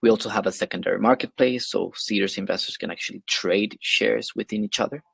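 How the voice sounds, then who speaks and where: A man's voice: lowish voice, fast talker, creaky, a bit bright, slightly rough, dry recording. A man from Venezuela, a guest explaining his work in a webinar interview, hesitant with many fillers and restarts. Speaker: guest, investment platform associate.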